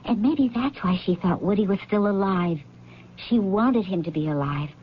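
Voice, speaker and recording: An actress playing an exasperated, gossipy executive assistant in a 1970s radio drama, speaking in a candid, emphatic way. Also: female voice, medium pitch, expressive, normal speed, natural voice. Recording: muffled, noisy old radio broadcast.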